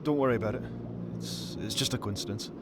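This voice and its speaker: An actor playing an earnest, reassuring male ally in a video game, speaking sincerely and deliberately. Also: medium pitch, heavy background noise, lightly acted. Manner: sincere, urgent, deliberate phrasing. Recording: background music under voice.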